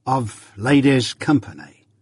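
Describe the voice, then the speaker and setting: A man voicing a simple, kindly country working man who speaks slowly and haltingly with rustic grammar in a storybook. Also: medium pitch, expressive, slightly rough, dry recording. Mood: slow, deliberate.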